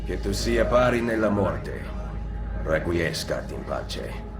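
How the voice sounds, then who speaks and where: An actor playing a resolute, cool-headed Italian assassin in a video game, measured and commanding, with an Italian accent. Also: male voice, low-pitched, sing-song, music in the background, full character voice. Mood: solemn. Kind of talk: video game cutscene acting.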